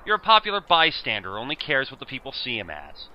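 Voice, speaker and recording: A man's voice: medium pitch, wildly swooping pitch, quick pace, lo-fi sound. A man acting a nerdy, bullied teenage schoolboy in an amateur audio drama, with a theatrical, wordy, pleading manner. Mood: bitter.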